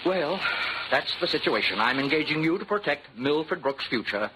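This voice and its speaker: A man's voice: on the high side, animated, moderate pace, lightly acted. A man playing a fussy, flustered, formal insurance company manager in a 1940s radio drama, speaking with prim, agitated emphasis. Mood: fussy, worried. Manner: prim, agitated, emphatic, old-fashioned phrasing. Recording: noisy vintage 1940s radio recording.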